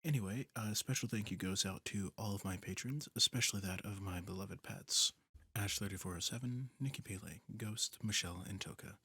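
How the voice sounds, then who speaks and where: A man who makes ASMR audios, talking casually to his listeners in a quick, rambling, apologetic outro. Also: on the low side, pushed and bright, breathy edge. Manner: rapid, apologetic rambling with fillers.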